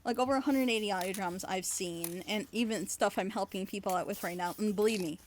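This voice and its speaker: A woman chatting casually on a reaction panel, giving feedback in an animated, rambling, gushing way. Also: medium pitch, fast, slight background noise. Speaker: reviewer and script editor on a reaction panel.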